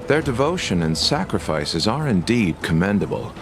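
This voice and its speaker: Solemn, low-voiced, expressive orator. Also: male voice, moderate pace, over music. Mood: solemn, pointed. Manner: formal, rhetorical, weighty. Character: solemn, formal questioner of a general. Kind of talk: dramatic game dialogue.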